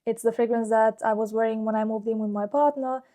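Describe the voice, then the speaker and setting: Mellow-voiced woman chatting about her life. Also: fairly high pitch, steady tone, brisk. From Romania. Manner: chatty and fond, with frequent "like" fillers. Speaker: fragrance YouTuber. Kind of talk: casual personal vlog talk.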